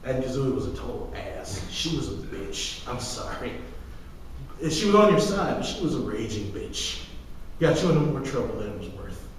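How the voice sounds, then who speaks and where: A man's voice: mid-range voice, expressive, moderate pace. An echoey, hall-like recording catches a young man some way from the microphone, with faint noise behind him. He chats casually as a podcast co-host, pushing his opinions hard, with sarcasm and the odd curse. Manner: emphatic, ranting, sarcastic, sweary. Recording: echoey hall, distant mic, light noise.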